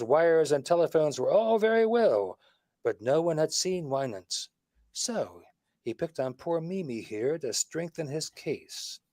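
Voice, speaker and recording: A man performs a shrewd, confident detective from a 1940s radio mystery. His fairly high voice moves animatedly as he lays things out with emphasis and a wisecracking edge, in a dry, close sound. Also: a little mellow, no echo. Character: shrewd, wisecracking male detective laying out a murder case.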